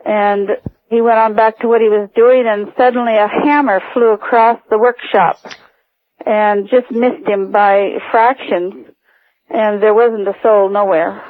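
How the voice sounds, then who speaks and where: An older woman calling a radio show by phone, recounting personal stories in a hesitant, halting way. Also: medium pitch, fairly even intonation, no room sound. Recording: narrow tinny phone line.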